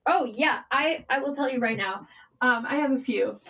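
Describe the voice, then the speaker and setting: High-pitched woman chatting in an interview. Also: moderate pace, fairly bright tone. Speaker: podcast guest, writer and journalist. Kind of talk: casual interview chat.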